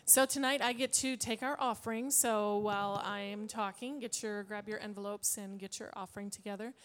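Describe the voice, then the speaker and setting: A woman pastor with a quiet voice taking the offering and praying over a women's gathering. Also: fairly high pitch. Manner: quiet voice, steady. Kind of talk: offering talk and prayer. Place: church hall with audience.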